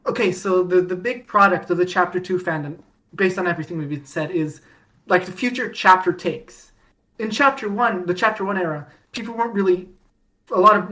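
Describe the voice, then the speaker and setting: A woman with a fairly low voice co-hosts a casual fan podcast. She rambles eagerly, trips over her words and restarts her sentences often. She pleads and gushes when something excites her. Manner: rambling, lots of restarts and fillers; enthusiastic and pleading. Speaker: podcast co-host. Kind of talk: casual fandom chat.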